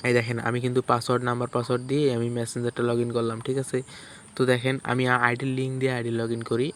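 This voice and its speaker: Man, Bangladeshi accent, mid-pitched, rapid speech, insistent tutorial presenter, repetitive, faint cricket-like background noise. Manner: insistent, repetitive, keeps seeking agreement. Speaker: tech tutorial YouTuber. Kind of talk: informal screen-share tutorial.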